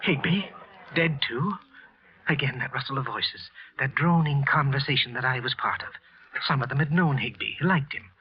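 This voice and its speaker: A man acting a guilt-ridden, jittery office worker confessing to murder in halting, tense phrases, in the narrated style of 1940s radio drama. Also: mid-range voice, breathy edge. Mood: panicked disbelief. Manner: halting, tense, broken phrases with repeated words. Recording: dull old-time radio recording with music.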